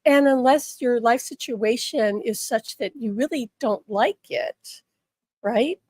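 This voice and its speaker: A slightly hoarse woman giving an earnest spiritual talk, often checking in with the listener. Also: fairly high pitch, slow pace, a little mellow, no echo, studio quality. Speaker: spiritual teacher.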